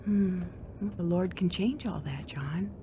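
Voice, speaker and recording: A devout young divorced mother in a radio drama. Her voice is gentle and on the low side. There is clear noise in the background. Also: unhurried. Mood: gentle, encouraging.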